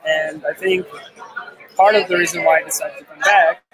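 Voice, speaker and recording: Soft-spoken man from Portugal, noisy crowd. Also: on the high side, fairly even intonation, moderate pace. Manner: soft-spoken, with frequent fillers. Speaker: research scientist guest. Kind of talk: interview answers. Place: busy event with crowd.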